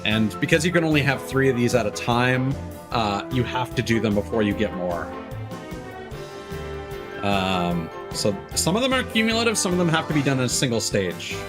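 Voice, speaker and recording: A man's voice: mid-range voice, expressive, moderate pace. A man giving casual gameplay commentary as a streamer, muttering and exclaiming at the game as he plays. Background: video game music.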